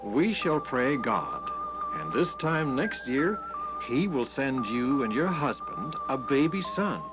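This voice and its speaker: A man voicing an aged, kindly prophet for a children's Bible story, speaking in a solemn, deliberate way. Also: fairly high pitch, huge pitch swings, over loud music, voice pushed up, exaggerated voice, poor audio. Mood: solemn promise.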